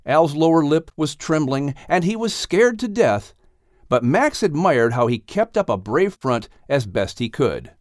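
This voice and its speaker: A man's voice: on the high side, sing-song, a little slow, darkish tone, dry recording, studio quality. A man reading scripted narration for a children's audiobook.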